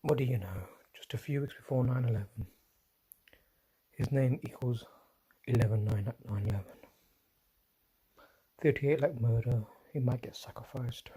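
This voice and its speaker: A man hosting a solo livestream, talking low and often whispering, with restarts and apologies as he reads and comments. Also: moderate pace, long pauses, warm tone, slightly rough, poor audio. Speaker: livestream host. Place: indoors at home, quiet room. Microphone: phone.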